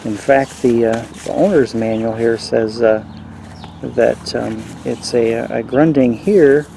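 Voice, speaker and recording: A man hosting a casual, unscripted hobby review, explaining features in a halting, easygoing way. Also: mid-range voice, steady tone, vocal fry, mellow, a little hiss or hum. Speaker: radio hobbyist host reviewing gear. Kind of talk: casual unscripted product walkthrough.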